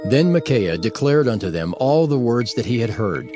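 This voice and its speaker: A man narrating dramatized King James scripture in a formal, measured storytelling manner. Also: mid-range voice, very animated, over music.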